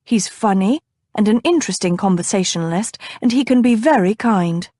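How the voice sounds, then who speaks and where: A woman reading an audiobook, voicing a composed, restrained young lady in a measured tone. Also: mid-range voice, lively intonation, mellow, dry recording, clean studio sound, close to an everyday voice.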